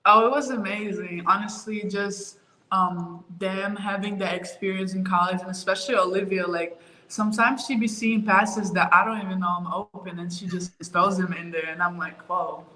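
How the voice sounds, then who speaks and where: A woman's voice: mid-range voice, moderate pace. Young woman in an echoey room. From Ukraine. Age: young adult. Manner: relaxed, easygoing, many fillers. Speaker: college basketball player. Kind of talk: casual interview answers.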